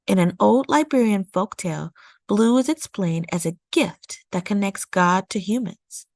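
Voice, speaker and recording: A woman narrating a children's nonfiction picture book as a storytime reader, with measured, deliberate phrasing. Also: medium pitch, animated, a little mellow, no room sound, studio quality. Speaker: storytime read-aloud host.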